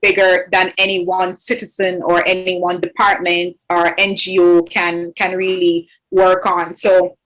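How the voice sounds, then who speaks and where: A woman's voice: fairly low pitch, little pitch movement, measured pace, hardly any pauses, a bit bright, rough recording. A woman in her twenties from Jamaica, a guest in an interview, speaking earnestly with frequent fillers and restarts. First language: English. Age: 20s. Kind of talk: interview conversation.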